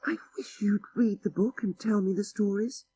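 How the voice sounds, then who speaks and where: A man voicing a gushing, coy young society woman in an audiobook story, breathy and exclaiming. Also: sits high, fairly even intonation, normal speed, dark tone, no echo, voice pushed up, rough recording. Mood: confiding.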